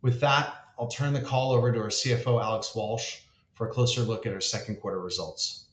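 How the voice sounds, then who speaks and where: Male founder-CEO for scripted investor call, formal and measured, mid-pitched, echoey room sound. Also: steady tone. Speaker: company founder and chief executive. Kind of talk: scripted earnings call remarks.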